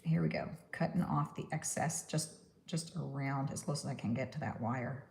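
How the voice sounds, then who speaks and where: A woman's voice: very deep voice, normal speed, creaky, darkish tone, a little hiss or hum. A woman from New York with a Texan accent, chatting casually through a crafting livestream, rambling and laughing at her own puns. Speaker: stop-motion puppet maker.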